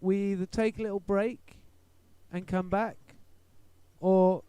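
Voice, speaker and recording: A man hosting a casual podcast chat, talking in a relaxed, rambling way. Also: high voice, unhurried, smooth, no room sound. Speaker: podcast host.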